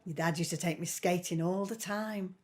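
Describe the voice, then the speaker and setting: A woman's voice: on the low side, steady tone, moderate pace, a little mellow, close to an everyday voice. An actress playing a fretful, grumbling middle-aged working-class mother in a 1950s family audio drama. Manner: grumbling, worrying.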